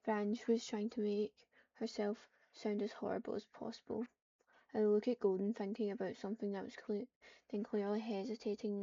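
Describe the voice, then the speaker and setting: A woman's voice: mid-range voice, warm tone, no echo. A woman narrating an online roleplay story, reading out the action between lines of character dialogue. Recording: dull, lo-fi, distant microphone.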